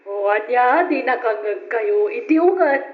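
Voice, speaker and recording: A woman's voice: on the high side, expressive, a little slow. A middle-aged mother in an old radio play, motherly and a little scolding. The sound is dull and echoey, like a vintage broadcast. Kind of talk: 1940s-style radio drama acting.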